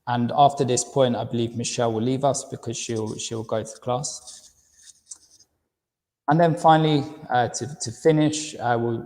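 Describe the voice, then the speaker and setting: A man's voice: medium pitch, mellow, long reverb tail. A man in his thirties with a London accent presents a university webinar in a friendly manner, often repeating words as he restarts. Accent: London English. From United Kingdom.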